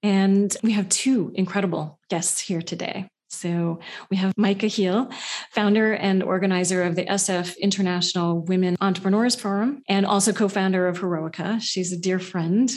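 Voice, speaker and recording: A woman hosting a podcast, reading a scripted intro and then leading a live panel in a measured, deliberate way. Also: medium pitch, fairly even intonation, darkish tone. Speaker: podcast host.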